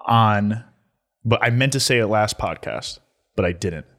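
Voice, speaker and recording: A man's voice: fairly low pitch, a little slow, fairly bright tone. Teasing man co-hosting a podcast. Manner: teasing, laughs often. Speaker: podcast co-host. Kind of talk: casual chat.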